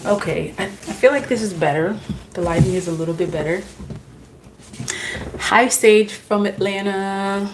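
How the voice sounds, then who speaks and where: A woman who speaks English as a second language, hosting a tarot live stream from home. She talks in an unhurried, easygoing way, chatting with viewers and laughing at her own slips. A faint hiss sits under her voice. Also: mid-range voice, rough recording. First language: not English. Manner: chatty, laughs at herself, greets viewers by name. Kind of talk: casual live-stream chat.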